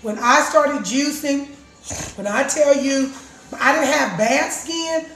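A middle-aged woman with a raspy voice chatting casually to her viewers about juicing, recorded in her home kitchen on a phone. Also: sits high, slow pace, a bit bright, a little hiss or hum. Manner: chatty, repeats herself, addresses viewers as guys. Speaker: juice vlogger. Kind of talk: casual live chat. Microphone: phone camera across the counter. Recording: roomy kitchen echo.

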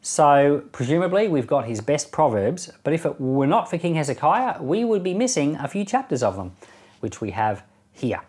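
A middle-aged man speaking as a pastor in a measured, explanatory way, reading and explaining scripture. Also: fairly high pitch, big pitch swings, darkish tone, breathy edge. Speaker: pastor, Bible podcast presenter. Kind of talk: Bible reading and informal commentary. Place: small indoor meeting room, seated.

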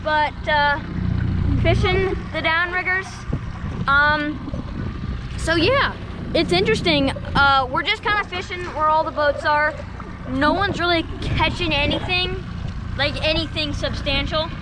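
A high-voiced teenage boy talks casually to the camera while fishing, calling his viewers guys every few lines. He's on a small open boat, caught on an action camera, with loud engine and water noise all around. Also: unhurried. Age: teens. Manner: chatty, keeps addressing viewers as guys. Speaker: fishing vlogger.

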